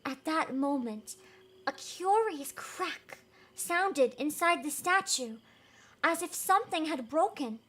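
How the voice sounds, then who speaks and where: A woman's voice: high-pitched, animated, takes it slowly, pushed and bright. A woman reading a fairy tale aloud as host, in an emotive, giggly way with casual reactions.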